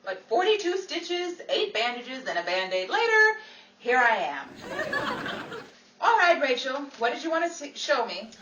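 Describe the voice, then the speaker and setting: A woman plays a witty Hollywood actress in a vintage 1940s radio comedy. Her high voice swoops theatrically through wry, exasperated lines. It sounds far from the mic, with noise and crowd sound behind. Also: very animated, measured pace, a bit bright, exaggerated voice. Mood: lively, matter-of-fact. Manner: wisecracking, theatrical, dry comebacks. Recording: roomy, distant, noisy, crowd sound behind.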